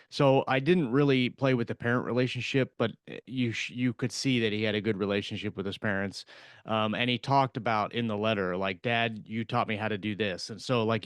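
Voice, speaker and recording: A man's voice: fairly low pitch, clean tone, no room sound. A man co-hosting a podcast, an author talking casually about his books with many fillers and restarts. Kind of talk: casual chat.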